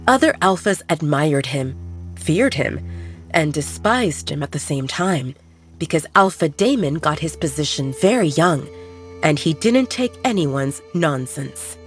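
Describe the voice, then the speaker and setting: A woman narrating a scripted story as an audiobook, with expressive, dramatic delivery. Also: low-pitched, measured pace, faint music behind.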